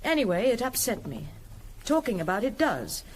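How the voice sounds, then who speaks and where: A woman's voice: fairly high pitch, sing-song, moderate pace, rough recording. An actress playing a guarded, uneasy married woman in a radio drama, clipped and defensive. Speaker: actress playing a questioned witness.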